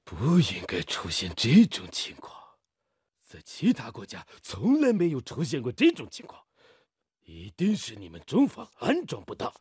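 An actor playing a proud Japanese steel company engineer in a radio drama, speaking formally and with haughty emphasis. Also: male voice, medium pitch, huge pitch swings, normal speed, a little mellow, slightly rough, no echo, clean studio sound, heavily acted. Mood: haughty, dismissive.

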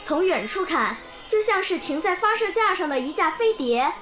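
An actress voicing a bright, curious young village girl who tells stories eagerly, in a radio drama. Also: very high voice, normal speed, full character voice. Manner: lively, eager storytelling. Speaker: pupil. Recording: background music under the scene.

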